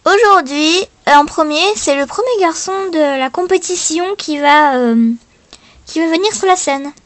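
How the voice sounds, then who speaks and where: Very high-pitched female amateur show host. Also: expressive, a little slow, dry recording. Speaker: host of a pretend singing contest. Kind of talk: amateur talent-show presenting. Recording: noisy homemade recording with clicks.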